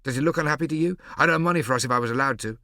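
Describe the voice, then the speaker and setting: A man's voice: on the low side, brisk, gravelly, dry recording, clean studio sound. A man voicing a proud, protective twelve-year-old boy who speaks earnestly and defensively.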